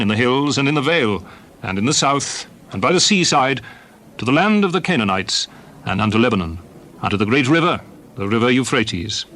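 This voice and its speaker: A man playing Moses as a solemn leader addressing his people in a dramatized scripture reading, speaking in deliberate, emphatic oratory. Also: mid-range voice, pushed and bright. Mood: solemn, commanding. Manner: declamatory, deliberate, emphatic phrasing.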